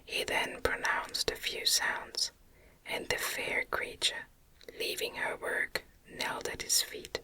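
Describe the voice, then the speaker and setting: A woman reading a gothic novel aloud as ASMR, in a gentle, breathy, unvoiced whisper with careful, unhurried phrasing. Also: low-quality recording. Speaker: audiobook narrator, ASMR reader.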